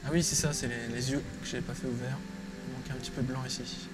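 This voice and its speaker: Casting: male tutorial presenter, mid-pitched, informal and unscripted, with prominent music behind him. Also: little pitch movement, fast talker, rough recording. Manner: informal, thinking aloud while working. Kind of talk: casual, improvised screen-recorded tutorial. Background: loud music.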